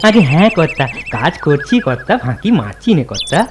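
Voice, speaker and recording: An actor playing a humble, eager-to-please village labourer in a cartoon, deferential and repetitive. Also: male voice, fairly high pitch, sing-song, a little fast, a little hiss or hum. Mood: obedient. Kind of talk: cartoon voice acting.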